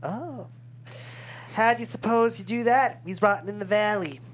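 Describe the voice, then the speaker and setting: A woman's voice: mid-range voice, moderate pace, a little hiss or hum, natural voice. An actress playing a bawdy, teasing older small-town woman in a comedy script table read.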